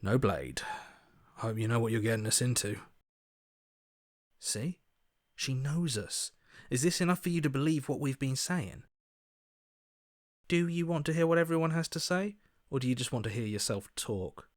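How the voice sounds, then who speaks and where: An actor playing an earnest, principled hero in a video game, with a sceptical, pressing manner. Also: male voice, mid-range voice, expressive, moderate pace, long pauses, no echo, studio quality, close to an everyday voice. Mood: wary. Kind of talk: video game character voice acting.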